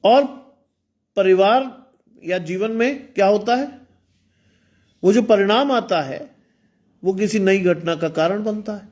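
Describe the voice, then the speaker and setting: A man's voice: high voice, slow, long pauses, darkish tone. A man preaching an online sermon in with some English, earnest and exhorting, speaking with a sore throat. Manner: earnest, exhorting, repeats phrases. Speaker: pastor.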